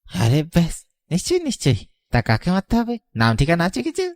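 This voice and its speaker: A man's voice: fairly high pitch, huge pitch swings, fast talker, no echo, clean studio sound. A brash hired killer from a cartoon horror tale. The voice swoops wildly up and down. He blusters like a gang boss, exaggerated in every phrase, with a coward underneath. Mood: cocky, eager. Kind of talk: animated horror story acting.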